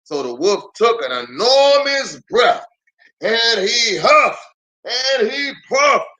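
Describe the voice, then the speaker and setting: A man who is a pastor reading a children's story aloud in an animated, theatrical way with drawn-out emphasis. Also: extremely high pitch.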